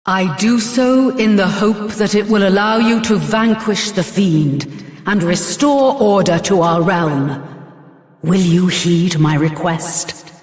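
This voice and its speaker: A woman's voice: mid-range voice, slow, faint music behind, close to an everyday voice. A woman voicing a regal, dying dragon queen in a fantasy video game, stately, formal and solemn, with echoing hall reverb. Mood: solemn, grave.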